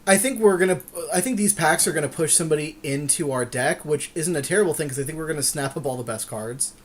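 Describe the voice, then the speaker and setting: A man's voice: on the high side, fast. A man co-hosting a podcast, giving casual, agreeable and conversational commentary on a card game draft. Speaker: podcast co-host.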